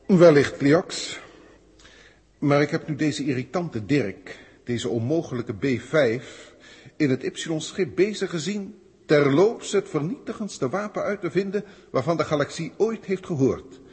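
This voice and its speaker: A pompous senior alien official of an old sci-fi radio play. His voice is very husky and swings widely in pitch, with formal, exasperated delivery. A long hall reverb surrounds it. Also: lively intonation, unhurried, darkish tone, heavily acted. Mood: agitated, musing. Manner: formal, theatrical, verbose. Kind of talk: 1960s Dutch radio drama acting.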